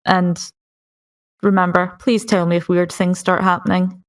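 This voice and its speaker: A woman's voice: fairly low pitch, little pitch movement, moderate pace. A woman playing a tabletop roleplaying game, speaking casually as her character. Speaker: player.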